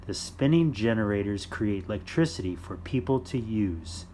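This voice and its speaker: A man reading a children's nonfiction book aloud in simple, deliberate phrases for young listeners. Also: on the low side, animated, warm tone, slight background noise. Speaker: children's storytime reader.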